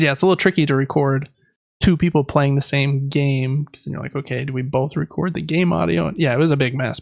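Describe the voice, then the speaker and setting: A man's voice: on the high side, gravelly, dry recording, lo-fi sound. A man co-hosting a gaming podcast, chatting casually in a rambling, enthusiastic, informal way. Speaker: podcast co-host.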